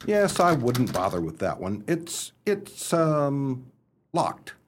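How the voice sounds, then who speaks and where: An actor playing a flustered upper-class young English gentleman in a radio play, hesitant and bumbling, narrating his own scrapes in old-fashioned slang. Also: medium pitch, very animated, slow pace, slight background noise. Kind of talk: radio play storytelling and dialogue.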